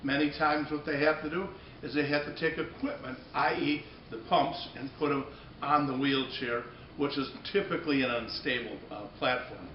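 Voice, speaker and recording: Male product demonstrator, halting and unscripted, restating as he goes; dull, roomy sound with light noise behind. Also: medium pitch, steady tone. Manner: halting, repeats and rephrases phrases. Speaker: company presenter demonstrating a product. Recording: echoey room, dull muffled audio, noticeable background noise.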